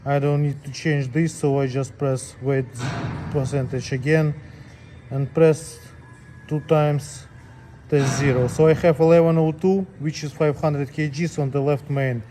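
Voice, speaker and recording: Higher-voiced man giving step-by-step instructions, mellow tone. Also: fairly even intonation, unhurried, soft background music. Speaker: scale technician demonstrating calibration. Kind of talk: hands-on tutorial, unscripted step-by-step instructions.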